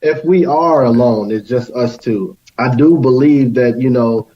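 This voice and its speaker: A young man talks as a guest in a casual but earnest discussion. His voice sits at an average pitch, and the recording is a bit muffled and lo-fi. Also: unhurried, warm tone, slight background noise. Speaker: roundtable guest. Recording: slightly dull, lo-fi sound.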